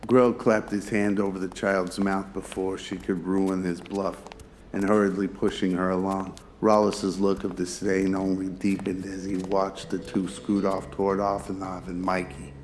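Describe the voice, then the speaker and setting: A man's voice: sits low, level tone, moderate pace, slight background noise. A man narrating a scripted audio drama.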